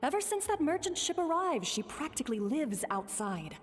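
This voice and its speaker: A woman's voice: high voice, sing-song, normal speed. A woman playing a caring but strict, worrying mother in a video game. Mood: exasperated. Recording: long reverb over music.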